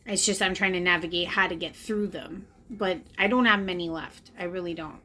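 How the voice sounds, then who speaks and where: A woman's voice: lowish voice, a little fast, pushed and bright. A woman in her late thirties talking to camera, earnest and confessional, repeating phrases for emphasis as she reflects on her own life. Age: late 30s. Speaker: spiritual vlogger. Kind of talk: personal reflective monologue to camera. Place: indoors, in front of a camera.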